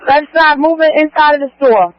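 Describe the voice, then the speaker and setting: A man with a very high voice dispatches emergency units over police radio. He relays and repeats instructions. The sound is dry and muffled, like a lo-fi scanner recording. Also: normal speed, no room sound.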